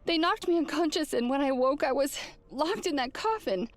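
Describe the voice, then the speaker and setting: High-voiced, anxious genteel lady. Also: animated, moderate pace. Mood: shaken, grateful. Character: lord's genteel, anxious wife in distress. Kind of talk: theatrical game cutscene acting.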